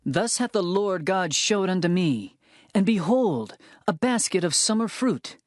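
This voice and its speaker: A man's voice: high voice, lively intonation, unhurried, dry recording, clean studio sound. An actor playing an earnest, bold herdsman prophet, declaiming in a dramatized scripture narration. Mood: solemn, narrating. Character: earnest male prophet Amos, herdsman turned bold messenger.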